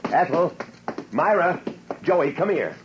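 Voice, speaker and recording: An actor playing an exasperated, excitable father in a 1950s radio drama, blustering and stammering. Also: fairly high pitch, takes it slowly. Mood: angry, commanding. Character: exasperated, frazzled father and businessman, head of household. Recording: muffled, noisy old radio recording.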